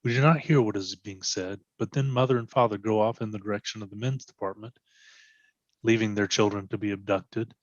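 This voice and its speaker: A man narrating scripted stage directions for a readers theatre piece in a measured, descriptive way. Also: fairly low pitch, steady tone, no room sound, dull audio.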